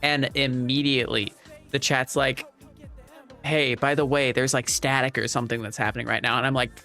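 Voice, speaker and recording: A man's voice: mid-range voice, flat delivery, normal speed. A man hosting a livestream, chatting casually and rambling as he responds to viewers. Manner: rambling, conversational. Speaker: livestream host. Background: soft background music.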